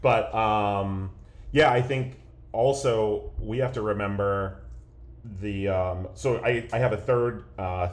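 Casual male podcast co-host from Pennsylvania, low voice, relaxed and joking, roomy sound. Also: takes it slowly. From Pennsylvania, USA. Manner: laid-back, joking, many fillers. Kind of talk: casual banter podcast chat. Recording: roomy echo.

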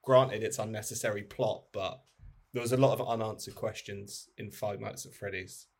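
Man chatting casually, slightly low husky voice. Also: fairly even intonation, normal speed. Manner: informal, rambling, sweary, acts out imagined lines. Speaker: podcast host. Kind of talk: casual film chat.

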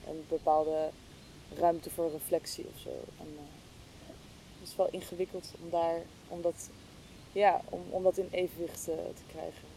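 A woman's voice: level tone, moderate pace, dark tone, poor audio. A woman chatting casually and reflectively, thinking aloud in a hesitant, searching way. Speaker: interview guest, podcast hostess. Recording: clear low rumbling background noise.

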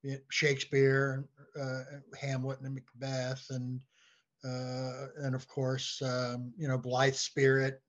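A man chatting casually as a podcast guest, halting and often repeating his words. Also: mid-range voice, completely flat delivery. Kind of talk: casual chat. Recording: dull, lo-fi audio.

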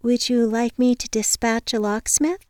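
A woman voicing a pleasant, patient female dispatcher in an audiobook, polite and calm as if reciting. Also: on the high side, measured pace, dry recording, studio quality, voice pushed up, close to an everyday voice. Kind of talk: acted audiobook dialogue.

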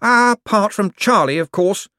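A man reading an audiobook, voicing a nervous, evasive male wizard bureaucrat who talks in a flustered, hurried way. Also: high voice, lively intonation, bright, forceful tone, no room sound, studio quality, voice pushed up, exaggerated voice. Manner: flustered, hurried, over-explaining. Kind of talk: audiobook character voice.

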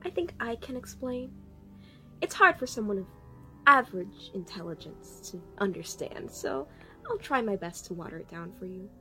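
A woman's voice: medium pitch, expressive, moderate pace, sharp tone, soft background music. A woman playing a condescending, impatient chief engineer with a technical way of talking in a science fiction audio drama.

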